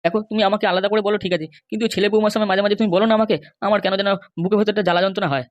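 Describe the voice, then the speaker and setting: A man's voice: high-pitched, fairly even intonation, racing, no echo, close to an everyday voice. Man doing a rattling, henpecked cartoon husband. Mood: aggrieved. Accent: West Bengal. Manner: wheedling, grumbling. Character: henpecked, pleading middle-aged husband, small-time politician. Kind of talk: comic cartoon dialogue.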